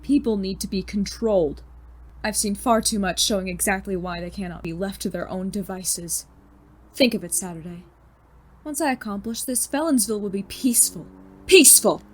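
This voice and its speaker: A woman's voice: medium pitch, normal speed, slight background noise, natural voice. A woman playing a smug, bitter male chemistry teacher turned supervillain in a scripted audio drama, with a mocking, condescending, theatrical delivery. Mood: grandiose, fervent. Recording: music behind the voice.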